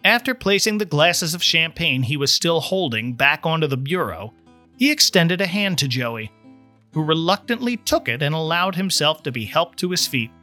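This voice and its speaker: A man reading a story aloud as scripted, expressive narration. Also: a little high, moderate pace. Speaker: story reader. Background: soft plucked guitar music.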